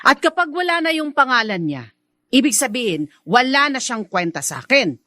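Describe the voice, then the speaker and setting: Haughty, swooping domineering wife. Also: female voice, high voice, big pitch swings, moderate pace, bright, forceful tone, no echo, studio quality, heavily acted. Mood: defiant, irritated. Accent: Filipino. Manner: haughty, scolding. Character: defiant, manipulative, domineering wife and mistress. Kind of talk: Filipino radio drama acting.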